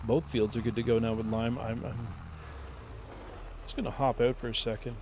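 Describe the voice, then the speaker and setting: A man streaming a farming game, thinking aloud in a relaxed, rambling way as he plays. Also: medium pitch, moderate pace, slight background noise. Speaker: gaming streamer. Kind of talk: casual gameplay commentary.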